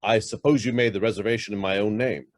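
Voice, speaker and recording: A man's voice: fairly low pitch, steady tone, quick pace, lightly acted. An actor playing a curt, demanding middle-aged businessman who is suspicious and hiding something, in a radio drama. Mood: agitated, accusing. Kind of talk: stage-style radio drama acting.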